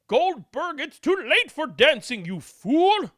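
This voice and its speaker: A woman plays a spoiled rich man in a comic storybook. He is bossy and imperious, shouting his demands high and loud, with dramatic swoops. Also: sing-song, slow, no echo, studio quality, heavily acted. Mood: demanding, cross.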